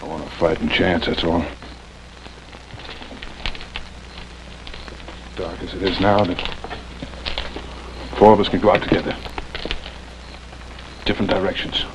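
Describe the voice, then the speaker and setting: An actor playing a blunt, defiant civilian army scout with folksy frontier speech in a 1950s TV western. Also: male voice, low-pitched, steady tone, normal speed, long pauses, noisy background, lightly acted. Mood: urgent. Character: plain-spoken, defiant civilian army scout, protective and wary. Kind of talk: 1950s television western acting.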